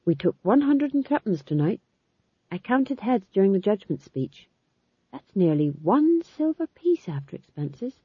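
A cocky, shrewd young actor-manager in a storybook, as a narrator puts him on, bossy and sure of himself. The delivery is lively and husky, with a dry, slightly dull sound. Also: medium pitch, unhurried, no room sound. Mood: shrewd, pleased. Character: confident, shrewd, money-minded young actor-manager, man.

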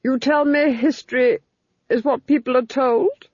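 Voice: high voice, fairly even intonation, a little slow, darkish tone, no room sound, pitched above the performer's own voice. An audiobook reader voicing a domineering, cold duchess who speaks in a commanding, cutting way, in a dull lo-fi recording. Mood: imperious.

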